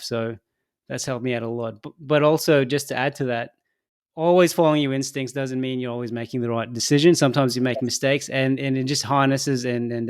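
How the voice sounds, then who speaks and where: A young man co-hosting a casual podcast who speaks English as a second language, thinking aloud with pauses and restarts. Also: mid-range voice. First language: not English. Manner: thoughtful, halting, laughs easily. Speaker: podcast co-host.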